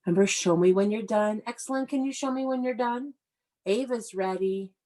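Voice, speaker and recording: A woman's voice: medium pitch, moderate pace, dark tone. A woman working as an elementary school teacher, leading an interactive lesson for young children with encouraging praise and step-by-step instructions.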